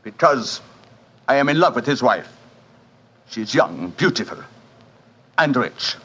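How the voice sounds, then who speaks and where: An actor playing a suave, fatalistic French casino manager in a 1940s radio drama, courtly and theatrical, with a French accent. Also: male voice, medium pitch, sing-song, unhurried, sharp tone, slightly rough, heavily acted. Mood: fatalistic, proud. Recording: muffled vintage 1940s radio broadcast.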